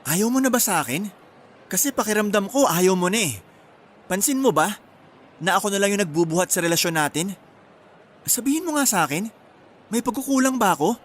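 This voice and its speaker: An actor playing an insecure, pleading young boyfriend in an earnest, emotional radio drama. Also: male voice, high-pitched, lively intonation, a little fast, long pauses. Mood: hurt, pressing.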